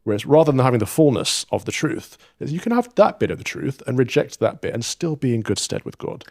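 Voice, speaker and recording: A man's voice: mid-range voice, big pitch swings, a little fast, a little mellow, no room sound, clean studio sound. A man in a played podcast clip, arguing religious points emphatically and insistently. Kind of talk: religious discussion.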